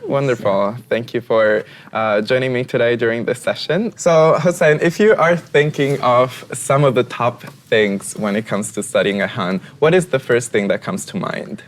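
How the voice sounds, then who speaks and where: A man's voice: medium pitch, normal speed, few pauses. A young man with an Italian accent, hosting a panel talk. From Italy. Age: young adult. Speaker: talk show host, former student.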